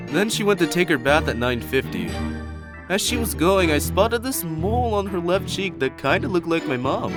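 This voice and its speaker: Man voicing a dreamy hippie yak, rambling, sing-song lilt, soft guitar behind. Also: a little high, big pitch swings, moderate pace, voice pushed up. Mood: spacey. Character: spacey laid-back male yak, hippie spa worker.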